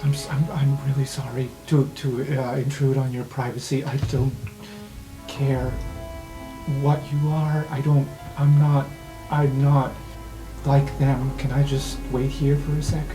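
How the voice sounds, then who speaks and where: A man acts out a timid noblewoman in a roleplay game. She speaks quietly and haltingly, full of apology, with faint music playing behind her. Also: mid-range voice, flat delivery, reverberant, lightly acted, low-quality recording. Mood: apologetic, nervous. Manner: hesitant, hushed, halting. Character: timid, apologetic woman in hiding, a nobleman's wife.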